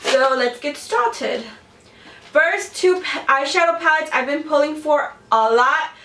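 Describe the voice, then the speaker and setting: A woman's voice: sits high, measured pace, a bit bright, slight background noise. Chatty young woman, congested, echoey room. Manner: chatty, rambling, lots of "like" and "um". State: congested with a cold. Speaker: beauty vlogger. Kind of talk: casual chat to camera. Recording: roomy echo, mic a bit distant.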